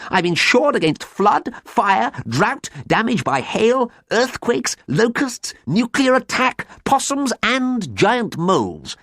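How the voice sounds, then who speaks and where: A man voicing an overwrought, melodramatic gentleman farmer in a storybook, wailing and stammering. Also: a little high, wildly swooping pitch, normal speed, few pauses, slightly rough, dry recording, studio quality, pitched above the performer's own voice, heavily acted. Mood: sobbing despair. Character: melodramatic, ruined gentleman farmer, sobbing and easily lovestruck.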